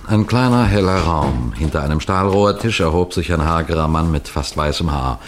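A man playing a wary police detective who narrates his mission in deliberate, suspenseful 1960s radio crime drama style. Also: rumbling low, expressive. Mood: suspenseful, measured. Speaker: narrator and lead character. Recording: noisy vintage 1960s radio recording.

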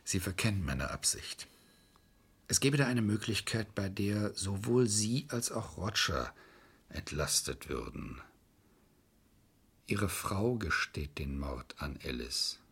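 Shrewd, calculating male lawyer for radio crime drama: lowish, forceful voice, controlled and politely insistent. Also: expressive, takes it slowly, gravelly, slightly rough, full character voice. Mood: cool, menacing.

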